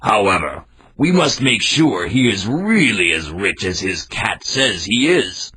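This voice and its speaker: A man's voice: fairly low pitch, sing-song, measured pace, pushed and bright, a little hiss or hum, heavily acted. A man voicing a curious, proud king in a storybook reading, speaking in a stately, inquiring way.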